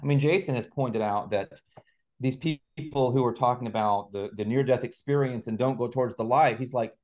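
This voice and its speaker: A man's voice: medium pitch, a little fast, a little mellow. A man talks as a guest in a conversational interview. He lays out abstract ideas in an assertive, articulate manner, stressing his points as he goes. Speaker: interview guest, writer and researcher. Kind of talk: conversational exposition of spiritual and speculative ideas.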